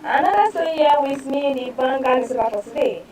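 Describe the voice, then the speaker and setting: High-voiced Nigerian woman presenter, distant mic. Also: animated, a little fast, a little mellow, a little hiss or hum. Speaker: news presenter. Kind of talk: reading newspaper headlines and reports aloud for a press review.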